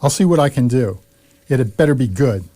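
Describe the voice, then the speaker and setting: Man acting a put-upon everyman, mellow, very expressive pitch, hesitant, polite, radio comedy. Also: brisk, close to an everyday voice. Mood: exasperated. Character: bewildered, put-upon, mild-mannered ordinary Earthman.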